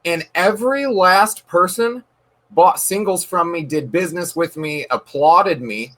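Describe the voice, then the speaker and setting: A man speaks with a slightly raised pitch in a casual interview. He sounds earnest and emphatic, often doubling words as he gathers his thoughts. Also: unhurried, a bit bright. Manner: earnest, emphatic, repeats words while thinking. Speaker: card shop owner, guest. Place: dark room.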